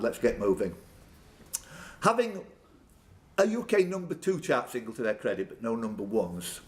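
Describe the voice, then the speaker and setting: A man hosting a live pub quiz, reading out questions and bantering with players by name. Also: medium pitch. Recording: clear background noise, live room. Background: room ambience and noise.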